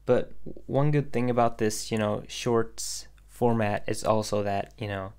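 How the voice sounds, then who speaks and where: A young man from Sweden chatting casually on a livestream, answering viewer questions in a hesitant, soft-spoken way with lots of ums and restarts. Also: lowish voice, fairly even intonation, measured pace, smooth. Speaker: independent animator streaming.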